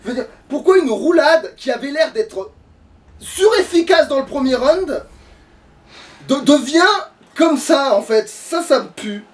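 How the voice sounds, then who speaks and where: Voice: sits high, expressive, measured pace, distant mic. An exasperated video game player chatting casually and swearing heavily during a let's play. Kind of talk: casual let's play chat while playing.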